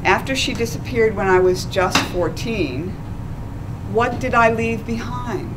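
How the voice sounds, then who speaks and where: A woman in her sixties reading her own essay aloud at a live event, slow and measured. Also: a little high, noisy background. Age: 60s. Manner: slow, deliberate, measured delivery. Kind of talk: literary reading. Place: small stage in a dark club.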